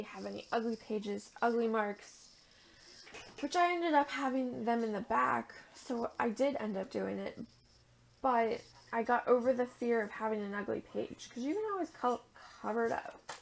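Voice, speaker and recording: A young woman with a raised, gravelly voice talks her way through a crafting demo, chatty and informal. She sounds a little raspy and worn out from a long day, and now and then she drops to a soft murmur as she works. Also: a little high, a little hiss or hum, poor audio. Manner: chatty, thinks aloud while working, drops to a murmur at times. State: tired at the end of a long day. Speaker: art journaling vlogger. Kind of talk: casual crafting tutorial.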